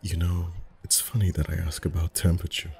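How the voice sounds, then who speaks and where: A man acting as a friendly festival face painter with a very deep, mellow voice. He is soft-spoken and chatty, gently teasing and flirting as he reassures his customer in an intimate ASMR roleplay. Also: little pitch movement, a little fast, creaky. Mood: warm, chatty. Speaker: voice actor.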